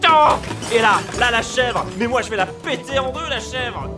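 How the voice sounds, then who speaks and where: Man acting, high male voice, exasperated hothead, overdramatic rants, sarcastic, audio comedy. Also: expressive, normal speed, over loud music. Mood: furious. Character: hot-tempered grumbling man, exasperated museum visitor prone to rages. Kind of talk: comic audio drama acting.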